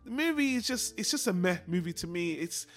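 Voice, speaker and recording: A man's voice: high voice, animated, a little fast, soft background music. A man co-hosting a casual film discussion, rambling and repeating himself for emphasis. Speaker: podcast co-host.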